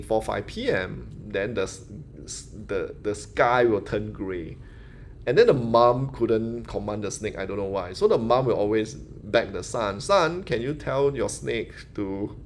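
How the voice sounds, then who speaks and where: A man's voice: lowish voice, a little hiss or hum. A Singaporean man with a local English accent, answering questions on a live stream in a chatty, loose teaching style. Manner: chatty, loose phrasing with restarts and "you know". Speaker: manifestation teacher hosting a live Q&A.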